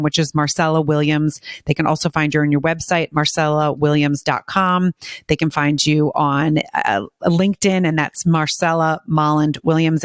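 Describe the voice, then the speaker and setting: A woman's voice: low-pitched, no echo, studio quality. A woman hosting a podcast, chatty and enthusiastic as she introduces and interviews a guest. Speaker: podcast host.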